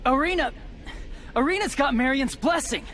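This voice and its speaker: A man's voice: extremely high pitch, moderate pace, fairly bright tone, a little hiss or hum. An actor playing an impulsive, loyal teenage boy hero with a casual, emotional delivery in a video game drama. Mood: alarmed.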